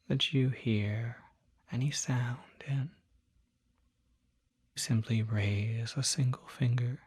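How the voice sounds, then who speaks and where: A performer voicing a calm, courteous demon doctor in an ASMR roleplay, whispering softly with a measured, reassuring, formal bedside manner. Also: mid-range voice, no echo, full character voice. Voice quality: breathy, whispery.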